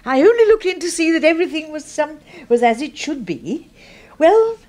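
A woman playing a deferential English secretary in a 1970s radio drama, with a fluttery, halting, polite manner. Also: sits high, big pitch swings, darkish tone. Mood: flustered, polite.

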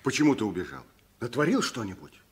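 An actor playing a good-natured, plain-spoken wandering tramp in a 1960s radio play, folksy and expressive. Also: male voice, mid-range voice, fast talker, close to an everyday voice. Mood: probing.

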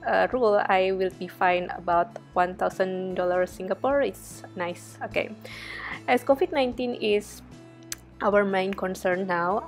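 Slow, chatty young woman from Indonesia. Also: mid-range voice. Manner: slow, chatty, many fillers. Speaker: research fellow teaching data analysis. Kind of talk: screen-recorded coding tutorial.

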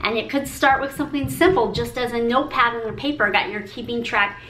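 A woman in her forties with a fairly high voice gives upbeat, encouraging advice to the camera. She talks with her hands, and the room around her rings with echo. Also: brisk, a bit bright, slight background noise. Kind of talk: speaking to camera, sharing business tips. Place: room with a pink painted brick wall.

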